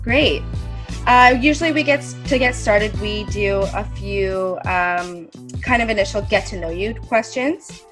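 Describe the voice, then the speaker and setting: A woman's voice: medium pitch, unhurried, over music. A woman hosting an interview, asking questions in a casual, friendly way with frequent ums. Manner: friendly, with fillers and laughs. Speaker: host.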